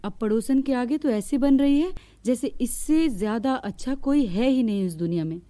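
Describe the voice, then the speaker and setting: महिला की आवाज़ में ऊँची, मुलायम आवाज़ वाली दुखी युवा बहू. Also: female voice, a little high, normal speed, mellow, no echo, lightly acted. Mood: resentful. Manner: plaintive, earnest. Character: aggrieved young daughter-in-law, pleading and plain-spoken. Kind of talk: Hindi moral-story cartoon acting.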